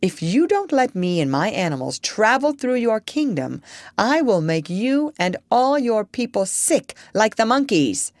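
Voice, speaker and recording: A parrot passing as a grand male doctor in a children's storybook, performed by a woman. The delivery is pompous, boastful and deliberate, with threatening weight and a wide, expressive pitch range. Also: no echo. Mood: pompous, menacing. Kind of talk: children's storybook character reading.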